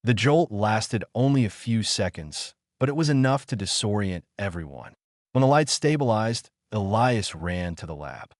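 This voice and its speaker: A man's voice: on the low side, expressive, normal speed, no room sound, studio quality. A man reading scripted narration for a science fiction audio drama.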